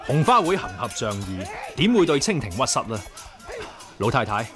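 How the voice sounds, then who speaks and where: An actor playing a courteous, earnest young leader of martial arts heroes in a radio drama, with a Hong Kong Cantonese accent. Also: male voice, mid-range voice, sing-song, normal speed, close to an everyday voice. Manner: polite, earnest. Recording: radio drama with music behind.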